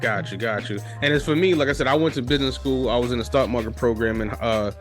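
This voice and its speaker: A man's voice: medium pitch, quick pace, soft background music. A man hosting a casual podcast interview, talking loosely with many restarts and fillers. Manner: loose, restarts often, lots of "you know". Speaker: podcast host.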